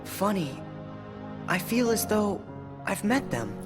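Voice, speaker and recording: An earnest teenage boy hero in a video game, sincere and thoughtful. The lines come slowly in long reverb, over music. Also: mid-range voice, very animated. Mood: puzzled, troubled. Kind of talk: video game cutscene acting.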